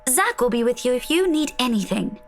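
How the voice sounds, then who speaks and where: An actress voicing a breezy, scatterbrained friend in a visual novel game. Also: female voice, sits high, very animated, a little fast, faint music behind. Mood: breezy, hurried.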